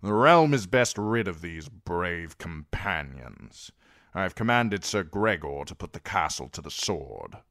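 A man voicing a stern, cold old lord who speaks in measured, authoritative tones. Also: low-pitched, sing-song, a bit bright, dry recording, clean studio sound, full character voice. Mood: calculating.

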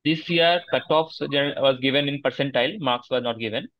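A man in his twenties with an Indian accent giving halting, deferential answers in a conversational interview over a headset on a video call. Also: mid-range voice, fairly bright tone, rough recording. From Kolkata, India. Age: 20s. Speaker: interview guest, successful exam candidate. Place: small room at home, video call.

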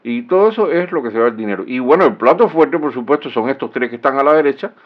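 A man's voice: mid-range voice, lively intonation, quick pace. A middle-aged man with a Cuban accent giving solo political commentary, sarcastic and indignant, with many fillers and restarts, recorded at home on a studio mic. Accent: Cuban Spanish. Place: small home room. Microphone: studio mic with headphones. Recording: dull, lo-fi sound.

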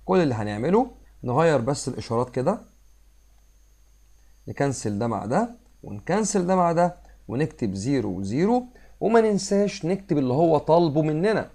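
Hoarse Egyptian male math teacher. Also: a little high, lively intonation, brisk, long pauses, mellow. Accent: Egyptian Arabic. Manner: explains step by step, mixing English math terms into Arabic. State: hoarse from a cold. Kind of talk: screen-recorded math lesson. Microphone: voice-over on a screen recording.

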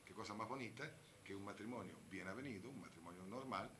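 A man's voice: lowish voice, fast. A middle-aged man hosting a television interview and putting questions to his guests. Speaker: interviewer, host. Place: room at home, seated at a table. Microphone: handheld microphone. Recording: noisy 1970s television archive recording.

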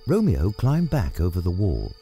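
A man reads scripted storybook narration. The tone is mellow and the pitch moves expressively, with music playing softly behind. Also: wildly swooping pitch, moderate pace, gravelly.

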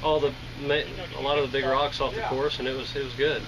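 A man's voice: mid-range voice, flat delivery, quick pace, dull audio, crowd noise. A man being interviewed after a race, answering in short, modest, laid-back phrases. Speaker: interviewed racer. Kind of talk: casual post-race interview.

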